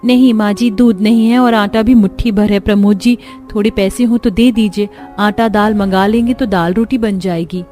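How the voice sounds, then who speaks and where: A woman's voice: mid-range voice, steady tone, moderate pace, hardly any pauses, warm tone, soft background music, natural voice. A woman as a weary, worried young housewife. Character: poor, weary, worried daughter-in-law and mother.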